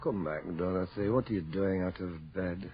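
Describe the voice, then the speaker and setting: A man playing an anxious, grief-stricken middle-aged husband in an old-time radio horror drama, halting and emotional. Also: low-pitched, lightly acted. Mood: weary concern. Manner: halting, emotional, melodramatic delivery. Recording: dull lo-fi radio drama recording.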